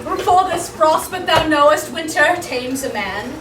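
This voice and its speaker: A woman's voice: high-pitched, expressive, unhurried. A woman playing a cheeky, grumbling male servant on an amateur stage, often breaking into laughter. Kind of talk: amateur stage acting of verse. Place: stage before a live audience. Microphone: distant room mic. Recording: echoey, distant, noisy hall recording.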